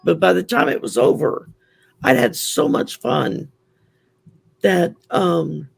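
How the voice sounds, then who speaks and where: A man with a Southern US accent and a growly, gravelly voice, chatting openly as a guest on a call. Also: high voice, expressive, slow pace, a little hiss or hum. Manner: chatty, open, storytelling. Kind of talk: casual chat.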